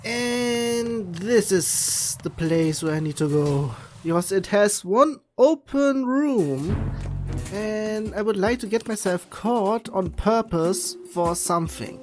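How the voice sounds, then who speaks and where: A game streamer giving casual, halting commentary, muttering along while playing. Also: medium pitch, expressive, soft background music. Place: voice over game audio with music behind.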